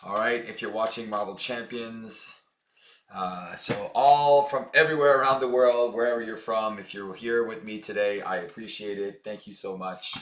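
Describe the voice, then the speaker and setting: A hoarse man chatting casually as he plays through a card game for his channel, upbeat and full of fillers. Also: lowish voice, steady tone, moderate pace, fairly bright tone, reverberant, a little hiss or hum. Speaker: board game channel host. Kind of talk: casual tabletop game playthrough.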